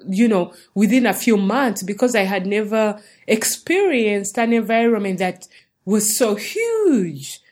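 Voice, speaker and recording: Slow, earnest woman teaching. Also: mid-range voice. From Uganda. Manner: earnest, encouraging, unhurried. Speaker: show host. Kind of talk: faith-based teaching talk.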